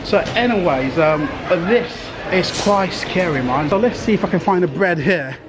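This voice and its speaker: A man's voice: sits high, lively intonation, moderate pace, background noise. A man vlogging casually and exclaiming informally as he walks around a supermarket. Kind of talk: casual walk-around vlog narration. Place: inside a large supermarket.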